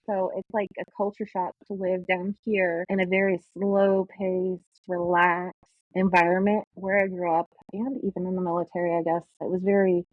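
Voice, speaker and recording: A woman's voice: fairly low pitch, little pitch movement, no room sound. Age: 40s. Sex: woman. Origin: upstate New York. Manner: frank, cussing co-host. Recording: dull, dry. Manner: frank, cusses, quick. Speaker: podcast co-host. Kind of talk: casual chat.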